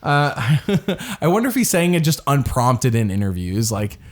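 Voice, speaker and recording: A man's voice: mid-range voice, sing-song, moderate pace. Man, podcast co-host, mid-range voice, casual rambling recap, chatty. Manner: rambling, with restarts and frequent laughs. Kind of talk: casual reality-TV recap chat.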